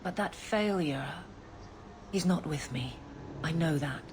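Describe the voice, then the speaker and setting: A stern guard captain in a fantasy game, lowish firm voice, faint noise behind. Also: female voice, lively intonation, takes it slowly. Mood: reflective. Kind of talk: video game voice acting.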